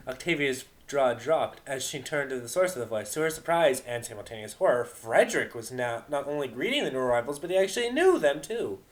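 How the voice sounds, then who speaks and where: Man narrating a story, mid-pitched voice. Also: lively intonation, a little fast, few pauses. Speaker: narrator of a fan fiction reading.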